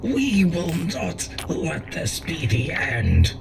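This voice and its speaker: A performer in a dramatic ASMR roleplay playing a grave, defiant warlord battling sickness, with halting, theatrical delivery. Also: mid-range voice, wildly swooping pitch, creaky, distant mic, noisy background, heavily acted. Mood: anguished defiance.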